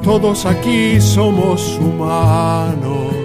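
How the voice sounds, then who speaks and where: A man sings a gentle song at a slow pace, with his voice sitting high. Loud guitar music plays along with him. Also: lively intonation. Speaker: singer. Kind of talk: singing with guitar.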